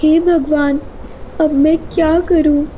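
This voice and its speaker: A woman's voice: sits high, flat delivery, takes it slowly, highs cut off, slight background noise, pitched above the performer's own voice. A woman voicing a kind, anxious little female bird in a cartoon, with an earnest, pleading manner. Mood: worried, pleading.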